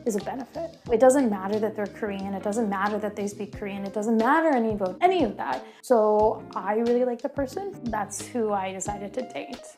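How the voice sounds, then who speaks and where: A woman's voice: mid-range voice, fairly quick, few pauses, mellow. A young woman from Canada, speaking earnestly and directly in an interview. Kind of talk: casual interview talk. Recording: faint music behind.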